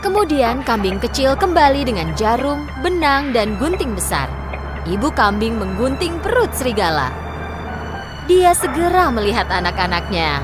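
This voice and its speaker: A woman storyteller reading scripted fairy tale narration for children. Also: sits high.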